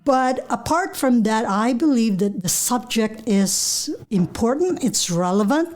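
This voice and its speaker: A woman's voice: a little high, expressive, measured pace, darkish tone. A middle-aged woman from the Philippines with a Filipino accent, answering interview questions as a guest author.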